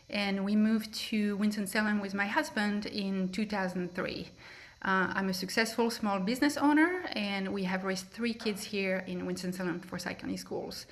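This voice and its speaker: A middle-aged woman with a French accent, from France, giving a friendly, steady candidate statement on a video call. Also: mid-range voice. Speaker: school board candidate.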